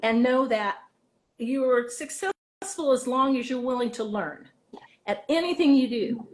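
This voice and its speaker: Chatty higher-voiced woman giving teaching advice. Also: a little slow. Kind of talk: casual interview chat, practical advice.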